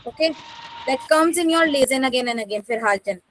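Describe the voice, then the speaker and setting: A woman's voice: high-pitched, animated, a little fast, slight background noise, low-quality recording. A woman with an Indian accent teaching an online language class, prompting learners with short questions and tags.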